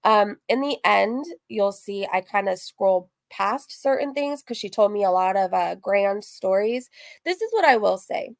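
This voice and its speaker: A woman's voice: medium pitch, lively intonation, unhurried. Chatty, gossipy woman livestreaming. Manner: chatty, gossipy, repeats phrases for emphasis. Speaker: online reaction channel host. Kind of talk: casual livestream commentary, reading out messages.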